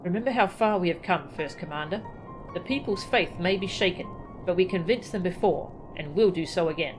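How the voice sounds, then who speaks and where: A woman's voice: on the low side, fairly quick, close to an everyday voice, low-quality recording. A woman voicing a loyal, hopeful officer in a fantasy game, formal and earnest. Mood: encouraging. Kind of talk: reading game dialogue aloud. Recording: game music behind the voice.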